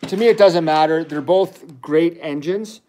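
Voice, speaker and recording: An enthusiastic middle-aged man hosts a casual toy livestream, chatting and rambling about his train collection. His voice sits a little high for a man. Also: unhurried, warm tone. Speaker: toy channel host, dad.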